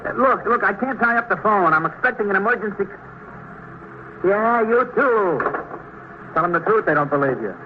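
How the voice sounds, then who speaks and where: Male, American, higher-pitched, animated, wry excitable laundromat man, 1950s radio drama, dull recording. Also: normal speed, long pauses. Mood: harried. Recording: dull old radio recording, faint noise.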